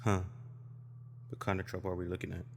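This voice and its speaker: An actor playing a tough, exacting agent and trainer in an audio drama, talking bluntly. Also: male voice, low voice, completely flat delivery, fast talker, a little mellow. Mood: dry, curious. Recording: hall reverb, clearly noisy background.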